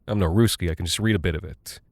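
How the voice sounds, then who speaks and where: An actor voicing a preoccupied American aircrew buddy in an audio drama, blunt and colloquial, with a hesitant, muttering delivery. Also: male voice, low-pitched, fast, no room sound, studio quality, lightly acted. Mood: puzzled, absorbed. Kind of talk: quoted dialogue in audio drama.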